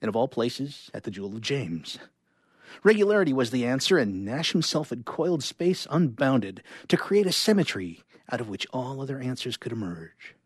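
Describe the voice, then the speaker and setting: Expressive man reading stories, lively pitch swings. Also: moderate pace, breathy edge, dry recording, studio quality. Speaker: performer reading short science fiction stories. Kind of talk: live dramatic story reading with casual asides.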